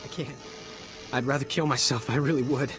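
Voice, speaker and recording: An actor playing a weary, desperate army private, halting and pleading, in a video game. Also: male voice, medium pitch, steady tone, music in the background, natural voice. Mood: bitter, despairing.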